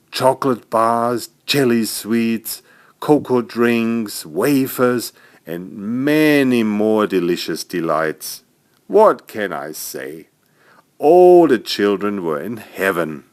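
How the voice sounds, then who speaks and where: A man narrating a scripted bedtime story for small children as its author, in an expressive storytelling manner. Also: medium pitch, takes it slowly. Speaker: author narrating own stories.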